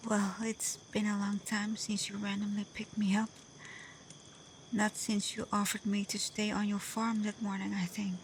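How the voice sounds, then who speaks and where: A woman playing a gentle, devoted kitsune in love in an intimate ASMR roleplay, speaking tenderly and hesitantly, often dropping to a breathy whisper. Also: mid-range voice, monotone, normal speed, a little hiss or hum, lightly acted, poor audio. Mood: affectionate, shy. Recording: faint cricket and night insect ambience.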